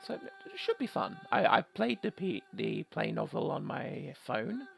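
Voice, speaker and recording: A man's voice: a little high, wildly swooping pitch, a little slow, gravelly, a bit bright. A man doing a casual let's play, reading game story text aloud and commenting with wry, rambling asides.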